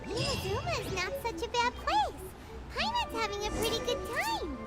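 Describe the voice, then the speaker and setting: A woman voicing a tiny, excitable floating fairy companion in a video game who talks about herself in the third person. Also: very high voice, normal speed. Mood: chirpy. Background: game music.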